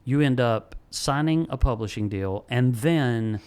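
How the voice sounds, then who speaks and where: A man's voice: mid-range voice, unhurried, a little mellow, smooth. A middle-aged man with a mid-range voice hosts a casual interview. He reacts with eager enthusiasm and nudges his guest along in a friendly way. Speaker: podcast host.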